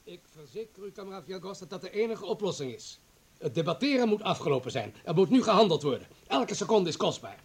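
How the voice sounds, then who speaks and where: A man's voice: sits high, sing-song, quick pace, background noise. A man acting in a Dutch radio play as a pragmatic, calculating party comrade, urgent and persuasive. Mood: urgent persuasion.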